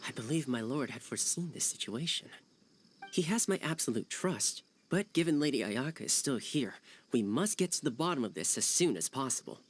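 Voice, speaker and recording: A man's voice: mid-range voice, lively intonation, fairly quick, slight background noise, natural voice. A man voicing a loyal, dutiful retainer in a video game, formal, courteous and resolute. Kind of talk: video game cutscene acting.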